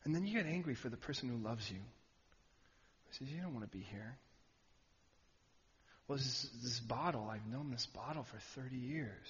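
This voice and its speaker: A man preaching a sermon, animated and joking with the audience, sometimes shouting out a word. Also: medium pitch, moderate pace, pauses often. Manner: animated, shouting at peaks, jokey asides to the audience. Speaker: pastor. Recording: muffled hall recording.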